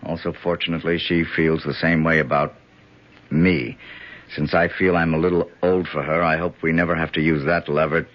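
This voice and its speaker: An actor playing a loyal, hard-nosed senator's aide in a 1970s radio drama, urgent and plain-spoken. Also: male voice, very deep voice, little pitch movement, moderate pace. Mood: grim, indignant. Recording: dull, band-limited vintage radio recording.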